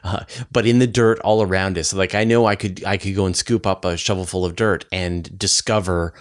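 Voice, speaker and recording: A male interviewer with a fairly low voice hosts a science conversation. He sounds curious and informal, working through his questions out loud. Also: fairly even intonation, normal speed. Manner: curious, informal, thinking aloud while asking. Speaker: interviewer, science show host.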